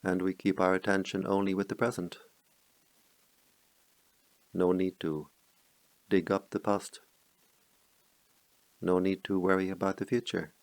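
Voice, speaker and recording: A middle-aged man, a meditation teacher, guiding a slow, calm meditation in a softly spoken voice with long pauses. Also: no room sound, poor audio. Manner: chants Pali verses in a low murmur. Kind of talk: guided meditation.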